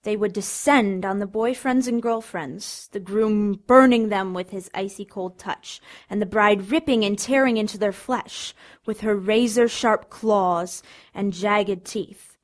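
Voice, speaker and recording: A woman's voice: mid-range voice, unhurried, close to an everyday voice. An actress playing a teasing teenage girl in a radio drama, theatrical and taunting. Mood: spooky, relishing. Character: teasing teenage girl telling a scary story. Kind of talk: radio drama, spooky storytelling.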